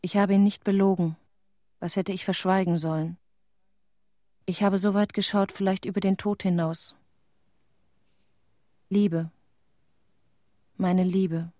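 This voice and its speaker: A grieving, haunted middle-aged widow played by an actress gives an intimate radio play testimony. Her voice is soft-edged and mellow, recorded very dry. She speaks with restraint, in short measured sentences with pauses. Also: medium pitch, fairly even intonation, dry recording, natural voice. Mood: tender, grieving. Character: grieving widow of a Chernobyl victim, tender, haunted.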